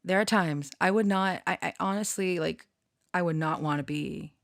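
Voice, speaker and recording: A woman's voice: lowish voice, normal speed, gravelly, no room sound, studio quality. A woman rambling casually to her online followers, giggly and prone to swearing. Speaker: online storyteller and channeler.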